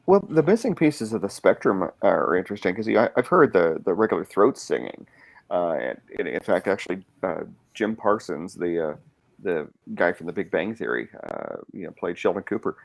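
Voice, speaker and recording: A man's voice: low-pitched, sing-song, fairly quick, creaky. A man hosting a podcast who talks in a chatty way and explains things at length. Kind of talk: casual podcast chat.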